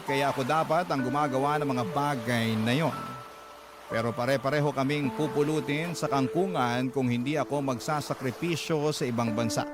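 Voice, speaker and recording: A man with a Filipino accent reading a scripted first-person dramatic story as a radio storyteller, with music behind him. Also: a little high. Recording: background music under the voice.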